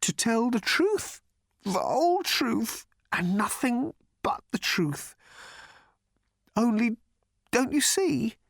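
Crazed weeping journalist for an audiobook, high strained whimper, swings all over, repeats himself. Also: male voice, big pitch swings, fairly bright tone, dry recording. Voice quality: whimpering, hoarse whisper rising to strained high cries. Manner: halting, repetitive, overwrought.

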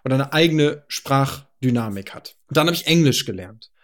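A man in his thirties from northern Germany, calmly and methodically explaining language families in a personal monologue. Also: mid-range voice, moderate pace. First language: Low German. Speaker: podcast host.

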